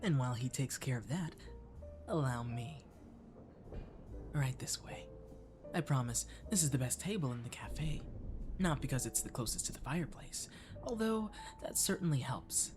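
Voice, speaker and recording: A man acts out a friendly catboy cafe waiter for an ASMR roleplay. He is courteous and flirty, coaxing the listener gently at a medium male pitch, with clear music behind him. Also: expressive, normal speed, long pauses, close to an everyday voice. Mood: gentle, inviting. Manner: attentive, gently coaxing, lapsing into whispers. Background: clear background music.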